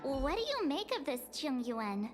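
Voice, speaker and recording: A woman's voice: high voice, sing-song, measured pace, over music. A woman voicing a candid, casual young woman in a fantasy video game. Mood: musing.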